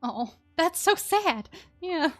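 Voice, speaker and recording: A woman game streamer giving casual, chatty live commentary with an animated, empathetic manner. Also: extremely high pitch, slow pace. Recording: game music behind the voice.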